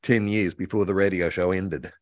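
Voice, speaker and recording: Older Australian man, lowish voice. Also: steady tone, moderate pace, dry recording, studio quality. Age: older, about 70. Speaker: interview guest, voice actor and author. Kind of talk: enthusiastic casual reminiscence. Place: room at home, on a video call.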